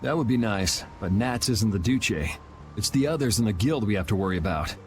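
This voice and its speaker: Guy doing a cool sardonic young swordsman from a game, low voice, kinda weary of his choices, soft music behind. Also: animated, brisk, lightly acted. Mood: matter-of-fact. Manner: dry, laid-back, wry. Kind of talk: video game dub acting. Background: background music.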